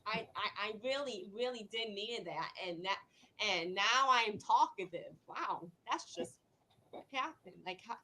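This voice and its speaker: A woman chatting casually in a halting way, often repeating her words and phrases. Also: mid-range voice, lively intonation, bright, forceful tone. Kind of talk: casual chat.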